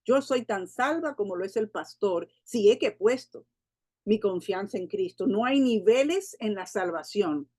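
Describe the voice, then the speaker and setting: A woman from the Dominican Republic teaching the Bible to a women's gathering. She slows and lifts her voice for scripture and adds quick asides and tag questions. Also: mid-range voice, animated, mellow, no echo, studio quality.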